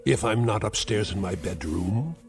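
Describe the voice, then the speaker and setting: An actor playing a cruel, sarcastic elderly uncle who is a scientist, taunting with mocking, theatrical insults. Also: male voice, on the low side, lively intonation, normal speed. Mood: sneering. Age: older. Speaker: domineering uncle. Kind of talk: 1960s TV drama acting.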